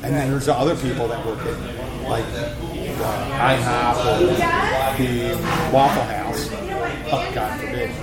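A man's voice: mid-range voice, fairly even intonation, slow pace. A man with a Philadelphia accent hosting a casual chat, rambling and teasing. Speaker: show host. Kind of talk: casual radio-style chat. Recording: roomy venue with loud crowd.

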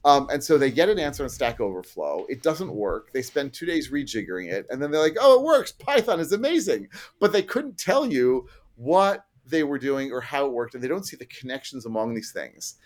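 A man's voice: medium pitch, big pitch swings, a little fast, hardly any pauses. A middle-aged man being interviewed as a guest, explaining his work as a trainer in a casual, self-deprecating way with illustrative asides.

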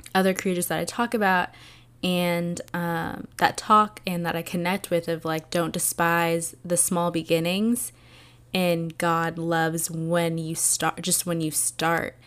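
A young woman hosting her own podcast, talking alone in a soft, soothing and earnest way, with many fillers. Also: on the low side, clean tone. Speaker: podcast host. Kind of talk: reflective solo podcast talk.

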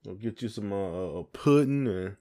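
A man's voice: on the low side, lively intonation, moderate pace, mellow. A man with a Southern American accent co-hosting a podcast in a casual, folksy, teasing chat. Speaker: podcast co-host.

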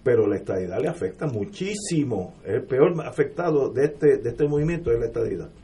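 A middle-aged Puerto Rican man on a political radio panel, speaking emphatically and building to forceful points. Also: medium pitch, lively intonation, brisk, slightly rough. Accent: Puerto Rican Spanish.

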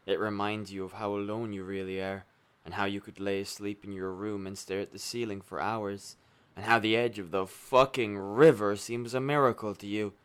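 An actor playing a smooth-talking, remorseless killer in a darkly comic radio play, with a glib, conversational manner and dramatic pauses. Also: male voice, lowish voice, fairly even intonation, dry recording, close to an everyday voice. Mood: bleak. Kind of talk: radio play monologue and dialogue.

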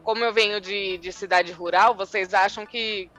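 A woman's voice: medium pitch, fairly even intonation, moderate pace, a bit bright, faint music behind. A woman from the Sorocaba area with a rolled interior São Paulo R, chatting on a podcast in a thoughtful, hesitant way. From Votorantim, São Paulo state. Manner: thoughtful, hesitant, many fillers. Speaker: podcast co-host. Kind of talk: casual chat.

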